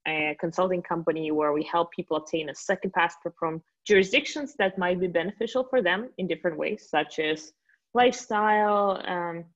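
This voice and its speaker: A woman in her late twenties from Russia with a Russian accent, a guest telling stories in a relaxed way with many fillers. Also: lowish voice, moderate pace, dull audio. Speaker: guest, company founder. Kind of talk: interview answers.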